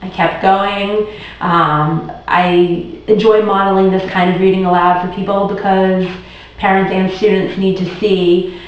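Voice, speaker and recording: A woman's voice: medium pitch, little pitch movement, a little slow. A woman tutor reading a children's storybook aloud as narrator. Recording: very echoey room, distant muffled mic.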